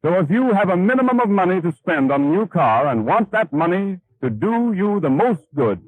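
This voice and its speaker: A man's voice: high voice, sing-song, normal speed, dry recording, narrow, tinny audio. An American man reading a scripted 1930s radio advertisement with an emphatic, polished sales manner. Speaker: radio announcer. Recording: 1930s radio broadcast, dry.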